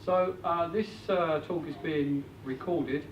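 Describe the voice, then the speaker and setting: A man's voice: a little high, takes it slowly, muffled recording. A man presenting at a live seminar, practical and matter-of-fact, with frequent fillers. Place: meeting room with an audience. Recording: echoey room, distant mic, faint hiss.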